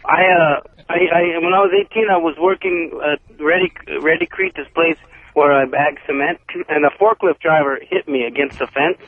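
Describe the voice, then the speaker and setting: A man calling in to a radio show by phone, rushing eagerly through a personal story. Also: a little high, fairly even intonation, dry recording. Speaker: caller. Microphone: telephone. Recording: muffled phone line.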